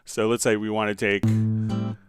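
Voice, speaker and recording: A man hosting a software tutorial livestream, explaining step by step in an informal, halting way as he thinks aloud. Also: fairly low pitch, monotone, over loud music. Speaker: host answering viewer questions on a software livestream.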